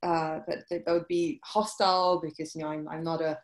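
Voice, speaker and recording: A woman in her forties with a British accent, answering interview questions over a video call, halting with many restarts. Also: low-pitched, level tone. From UK and France. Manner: hesitant, many restarts and fillers. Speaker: guest, journalist. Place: indoor room, video call. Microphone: laptop webcam mic.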